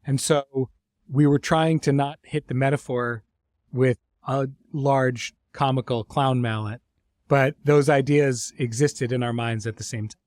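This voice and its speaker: Male writer-creator, mid-pitched voice, closely recorded without room sound. Reflective, articulate podcast conversation, fond of imagery. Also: fairly even intonation, measured pace, darkish tone, dry recording, clean studio sound. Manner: articulate, explanatory, uses vivid imagery.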